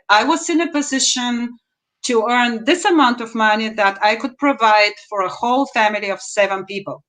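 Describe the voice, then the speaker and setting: A woman in her fifties with a Bulgarian accent, speaking on a video call from a room at home as an interview guest and telling her story in a measured, deliberate way with emphatic rises. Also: fairly high pitch, fairly bright tone. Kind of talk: interview answers, personal story.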